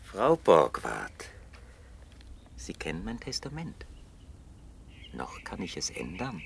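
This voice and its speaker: An actor playing a young, wealthy, irritable bachelor in a 1960s radio play, with clipped formal speech and a coolly sinister civility. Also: male voice, low-pitched, huge pitch swings, takes it slowly, gravelly, full character voice. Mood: subdued, wary. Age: young adult. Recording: hissy 1960 radio play recording.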